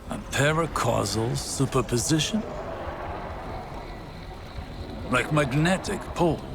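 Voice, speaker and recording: A man playing an intense, learned scholar-mystic in a video game, speaking in a formal, deliberate, professorial way. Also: medium pitch, expressive, vocal fry. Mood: intrigued. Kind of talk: scripted game dialogue, expository. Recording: loud music under the voice. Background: loud game score.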